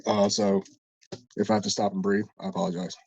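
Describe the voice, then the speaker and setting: A man's voice: lowish voice, level tone, fairly quick, creaky, darkish tone, husky, muffled recording. A man co-hosting a casual podcast, stuffed up and congested, telling a long story in a rambling, profane way with many restarts. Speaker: podcast co-host.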